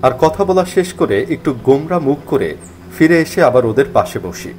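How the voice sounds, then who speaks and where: Expressive male mystery storyteller. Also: medium pitch, normal speed, faint music behind. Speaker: first-person narrator. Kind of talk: scripted mystery story narration.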